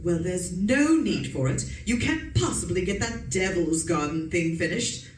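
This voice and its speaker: A woman's voice: lowish voice, expressive, measured pace. A woman acting an imperious, nagging society wife in a radio suspense play, scolding and sure of herself. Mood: bossy, suspicious. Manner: bossy, scolding, haughty. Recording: echoey room, distant mic, noisy background.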